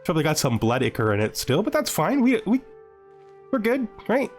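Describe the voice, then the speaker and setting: A male gaming host with a slightly high voice talks through his moves as he plays. His intonation swings widely as he thinks aloud and slips into playful, gloating asides in character. Music plays faintly behind him. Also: wildly swooping pitch, brisk. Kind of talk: casual gameplay commentary with playful in-character asides.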